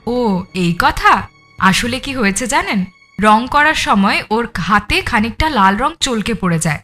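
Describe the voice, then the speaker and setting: A woman's voice: a little high, sing-song, a little slow, sharp tone, soft background music, exaggerated voice. A woman playing a composed, cunning married artist under suspicion, explaining herself with assurance in an audio drama. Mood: self-assured explaining. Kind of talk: audio story character acting.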